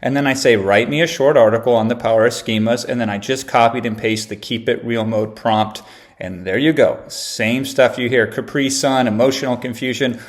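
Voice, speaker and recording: A man with a mid-pitched voice hosts a casual, conversational tutorial as a marketer. His delivery is brash and slangy, and he teases the viewers as he explains. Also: fairly even intonation, normal speed. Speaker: marketer and copywriter presenting a tutorial. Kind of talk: casual conversational tutorial with read-aloud examples.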